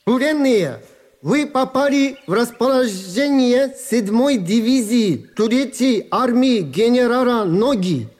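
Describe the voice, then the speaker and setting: An actor playing a formal military interpreter who translates for prisoners in a measured manner. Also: male voice, very high-pitched, animated, darkish tone, full character voice. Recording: long hall reverb.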